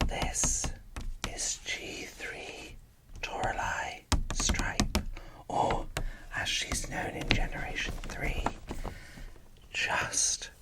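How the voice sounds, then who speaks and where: An ASMR host speaking in a breathy whisper, giving casual unboxing commentary slowly and softly. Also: recorded from a distance. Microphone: microphone set off to one side.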